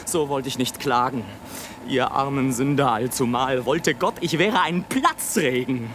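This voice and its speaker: A man's voice: mid-range voice, expressive, a little slow, fairly bright tone, a little hiss or hum. An actor playing a bitter, world-weary male balloonist in a German radio play, declaiming in an eloquent, theatrical and ironic way. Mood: indignant.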